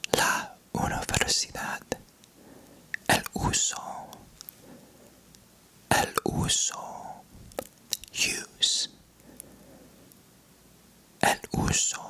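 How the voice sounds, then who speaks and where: Young male ASMR creator, unvoiced whisper throughout, very unhurried delivery. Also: rough recording. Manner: soft unvoiced whisper, slow word-by-word repetition. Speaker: ASMR creator teaching vocabulary. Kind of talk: whispered ASMR language lesson.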